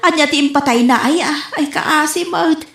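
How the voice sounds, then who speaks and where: Lady doing nosy ilocano neighbor gossip, radio drama, high voice, faint music underneath. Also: normal speed. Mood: prying. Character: gossipy neighbor woman bearing news in a comedy. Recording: roomy echo, faint music behind.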